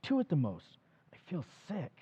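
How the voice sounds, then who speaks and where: A man playing an anxious, fretful middle-aged husband in an old-time radio play, with a husky voice. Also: fairly high pitch, huge pitch swings, moderate pace, dark tone, highs cut off, exaggerated voice. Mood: agitated.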